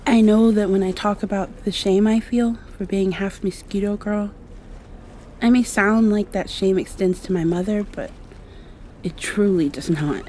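A woman's voice: mid-range voice, unhurried, a little hiss or hum, close to an everyday voice. A woman playing a shy, insecure half-mosquito vampire girl in an ASMR roleplay, hesitant and confiding. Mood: proud, tender. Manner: hesitant, soft-spoken, confiding.

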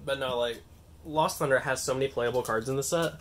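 A young man with a nasal voice from a cold, chatting excitedly and rambling as he opens trading card packs on a table. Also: medium pitch, fairly quick. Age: young adult. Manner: excitable, rambling, self-mocking. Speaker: card-opening channel host. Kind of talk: casual unboxing vlog. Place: indoors at a table, hands on camera.

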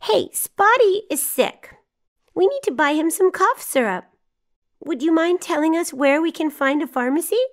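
A woman's voice: very high voice, animated, moderate pace. A woman voicing a cheerful, caring little girl in a children's cartoon, encouraging and teaching as she talks. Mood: caring, eager.

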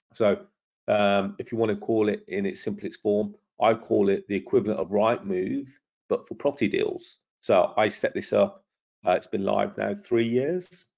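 A middle-aged man talks through a webinar, his low voice croaky and gravelly with a husky edge. He sounds persuasive and keeps turning questions back to the listener. The audio is dull and lo-fi. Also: a little mellow. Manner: persuasive, self-promoting, asks the audience questions. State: croaky from lingering illness. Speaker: property trainer hosting a webinar. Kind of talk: webinar presentation over slides.

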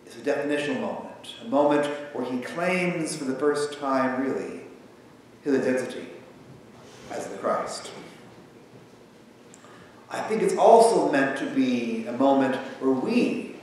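A man in his thirties preaching a sermon from a church pulpit, talking in a conversational, animated way. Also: mid-range voice, a little slow, long pauses. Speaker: pastor. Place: large church sanctuary with pipe organ. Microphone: far camera across the sanctuary. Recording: echoey sanctuary from far off, faint hiss.

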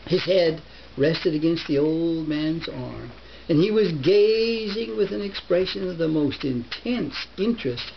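An older man in his seventies reads a folk tale in a put-on American Southern dialect, halting now and then like a grandfather at story time. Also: fairly high pitch, gravelly. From northern United States. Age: older, 70s. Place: book-lined living room. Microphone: camera a short distance away. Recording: muffled home camera recording with hiss.

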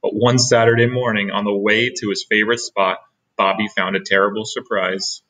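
A middle-aged man reading a children's picture book aloud expressively, with dramatic pauses. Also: fairly low pitch, level tone, a bit bright, poor audio.

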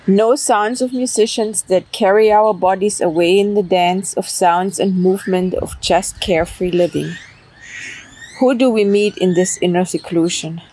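A woman poet reciting her own work in a measured way, pausing between short lines. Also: medium pitch, dark tone, breathy edge, poor audio. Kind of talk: poetry recitation.

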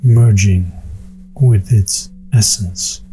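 A man narrating a guided meditation in an unhurried, soothing manner with long pauses. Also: lowish voice, dark tone, low-quality recording. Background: meditation music with singing bowls.

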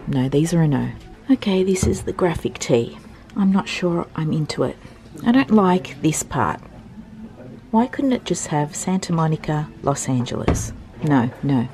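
A young woman, chatting casually as she tries on thrifted clothes in a small fitting room, now and then dropping to a whisper. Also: deep voice. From Australia. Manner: chatty, often drops to a soft whisper. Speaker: thrift vlogger. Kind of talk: casual try-on chat. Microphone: handheld compact camera filming in a mirror.

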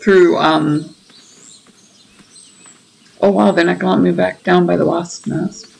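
A woman's voice: fairly low pitch, steady tone, unhurried, gravelly, a little mellow, slightly rough, poor audio. A woman hosting a gaming channel, giving chatty, explanatory commentary as she plays. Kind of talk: casual game commentary. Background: faint game music.

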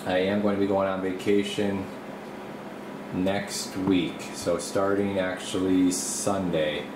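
A man giving a casual, off-the-cuff workshop tour as a video host, rambling and thinking aloud, speaking from behind the camera. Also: deep voice. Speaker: video host, radio repair technician. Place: small indoor home workshop. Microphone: camera mic, speaker behind camera. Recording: roomy, steady air-conditioner-like hum.

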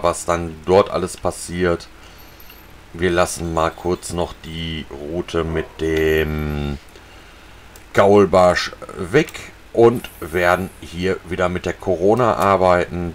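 Low-voiced German man playing a game. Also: slow, slight background noise. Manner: relaxed, talks to viewers while fishing in a game. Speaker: gaming channel host. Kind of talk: casual let's-play commentary.

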